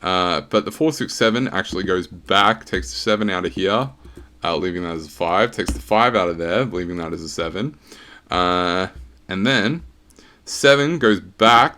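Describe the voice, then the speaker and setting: A man explaining the last steps of a sudoku puzzle casually, with many uhs and a relaxed, joking tone. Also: low voice. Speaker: sudoku puzzle setter and walkthrough host.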